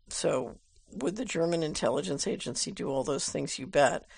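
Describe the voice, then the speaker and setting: Female host, gravelly texture, dry booth-like sound; measured, thoughtful delivery answering listener mail. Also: fairly low pitch, no room sound. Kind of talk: casual commentary, reading viewer letters aloud.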